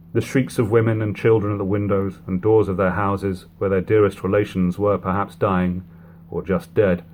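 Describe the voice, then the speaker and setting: A man reading an old literary book aloud in a measured, phrase-by-phrase narration, on a lo-fi home recording. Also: deep voice, a little mellow. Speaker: audiobook reader. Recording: lo-fi home recording, background hum, noise.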